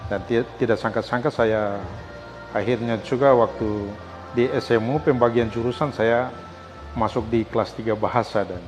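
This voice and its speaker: A man with a medium-pitched voice, talking as an academic guest on a podcast. He builds his points in short, measured phrases and repeats them for emphasis, with soft music underneath. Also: fairly even intonation. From Asmat, South Papua, Indonesia. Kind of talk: personal storytelling in an interview.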